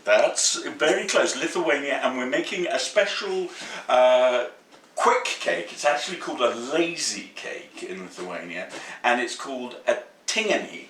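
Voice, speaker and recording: Excitable chatty man in an echoey kitchen. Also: mid-range voice, a little slow, distant mic. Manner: excitable, playful and chatty, jokes and rambles. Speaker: cooking show host. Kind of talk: chatty home cooking demonstration. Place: home kitchen.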